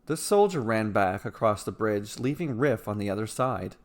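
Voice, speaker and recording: A man narrating a scripted fantasy story with measured, dramatic delivery. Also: on the low side, expressive.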